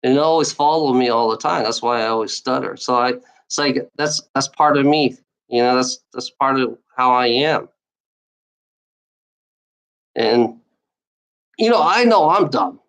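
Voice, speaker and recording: A woman's voice: very deep voice, a little slow, long pauses, rough recording. A woman streamer who stutters, ranting at her chat in a rambling, repetitive way with frequent restarts and swearing. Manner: repetitive, restarts phrases, profane. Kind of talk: rambling livestream rant at chat trolls.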